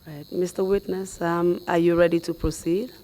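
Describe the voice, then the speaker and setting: A young woman, her voice hoarse, questions a witness as counsel in a formal hearing. Her delivery is measured and polite, with very little rise and fall in pitch. She speaks into a headset mic in a studio. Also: flat delivery, dark tone. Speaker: commission counsel.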